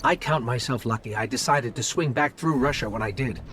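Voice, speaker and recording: An actor playing an earnest, friendly small robot companion in a video game, conversational and sincere. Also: male voice, medium pitch, a little fast, fairly bright tone, slight background noise, lightly acted. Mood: reflective, fond. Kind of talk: cinematic video game acting.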